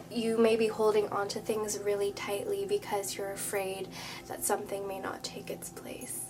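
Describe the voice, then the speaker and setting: A woman with a slightly nasal, congested voice giving a spoken tarot reading to her viewers, earnest and soothing, often dropping into a hushed whisper. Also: medium pitch, low-quality recording. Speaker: tarot reader. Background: background music.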